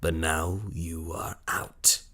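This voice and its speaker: An actor playing a cruel, scheming husband in an audio melodrama, with a mocking, theatrical delivery. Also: male voice, rumbling low, little pitch movement, takes it slowly, sharp tone, exaggerated voice. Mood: gloating, mocking. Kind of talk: audio drama acting, gothic melodrama.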